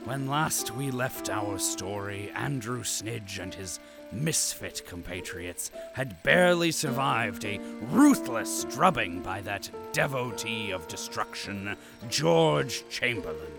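Pompous cantankerous male storyteller, creaky, slow, swooping pitch, florid audio drama delivery. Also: expressive, music in the background, exaggerated voice. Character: cantankerous, theatrical old male storyteller, easily outraged. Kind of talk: theatrical audio drama narration.

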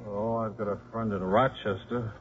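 An actor playing a wry, cynical, fast-talking football coach and father in a 1950s radio drama. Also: on the low side, steady tone, lightly acted. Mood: wry, resigned. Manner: brash, slangy, wisecracking. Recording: muffled 1950s radio broadcast.

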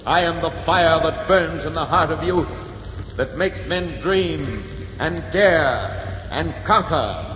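An actor playing the grand, romantic spirit of Adventure as a declamatory 1940s radio narrator. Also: male voice, a little high, slow pace, a bit bright. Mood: grand, alluring. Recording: reverberant, muffled, noisy 1940s radio recording.

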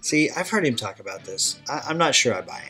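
Male host, mid pitch, easygoing and chatty, steers the conversation. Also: sing-song, fairly quick, sharp tone, slightly rough, soft background music. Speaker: podcast host. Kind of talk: casual movie chat.

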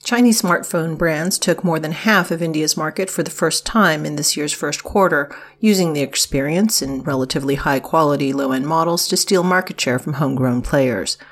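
A woman's voice: deep voice, normal speed, few pauses. A woman reading scripted business articles aloud for a news podcast, in a formal, informative delivery.

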